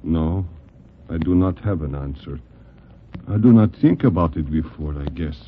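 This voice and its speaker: An actor playing a naive, earnest exiled king turned boxer, with a foreign accent and halting, stilted English, in 1940s radio style. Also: a bass voice, animated, breathy edge, heavily acted. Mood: bewildered, ashamed. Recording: tinny, band-limited old radio recording.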